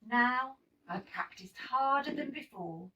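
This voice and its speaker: A woman reading a children's story aloud in an expressive, emphatic storytelling manner. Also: a little high, slow, far from the mic, poor audio. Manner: animated, emphatic storytelling with acted-out dialogue. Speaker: storybook reader.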